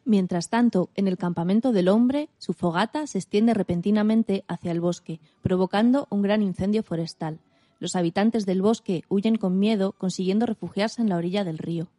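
A woman's voice: medium pitch, normal speed, dark tone, dry recording, clean studio sound. A woman narrating a scripted story summary.

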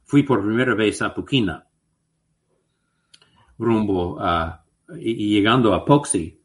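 Slow halting man. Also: on the low side, lively intonation. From United States. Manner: slow and halting, many restarts and filler sounds. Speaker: guest researcher. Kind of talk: interview answers, anecdotal.

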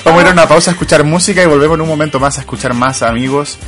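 A man with a Chilean accent co-hosting a casual radio chat, talking in relaxed slang and often laughing. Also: on the high side, rapid-fire, loud music behind the voice. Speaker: radio co-host.

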